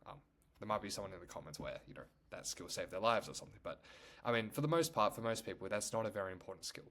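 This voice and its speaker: Young male YouTuber, native Chinese speaker, lowish voice, loose rambling delivery. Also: expressive, fast, a bit bright. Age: young adult. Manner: rambling, full of "like" and "you know". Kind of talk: casual talk to camera.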